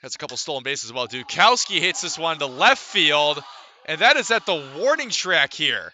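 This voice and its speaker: A man doing live radio baseball commentary in a very bright, forceful voice, pitched slightly high. He delivers stats in short clipped phrases and fills out the action in descriptive sentences. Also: big pitch swings, moderate pace, slight background noise. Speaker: baseball play-by-play announcer.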